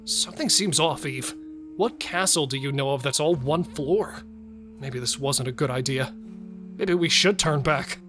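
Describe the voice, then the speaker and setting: A man's voice: medium pitch, a little slow, fairly bright tone, faint music behind, lightly acted. An actor playing an anxious, sweary male killer in a horror audio drama, with jumpy, exclamatory delivery. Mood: wary, uneasy.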